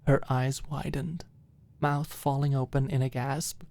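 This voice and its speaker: A woman's voice: very deep voice, little pitch movement, a little slow, a little mellow. A woman narrating a scripted ASMR audiobook story, close to the microphone.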